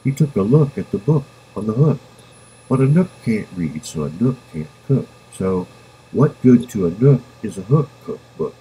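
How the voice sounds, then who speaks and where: A man reading a children's rhyming book aloud in a playful, sing-song manner with drawn-out words. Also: fairly low pitch, very animated, dark tone, rough recording. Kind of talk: expressive storybook read-aloud in rhyme. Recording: home recording with background noise.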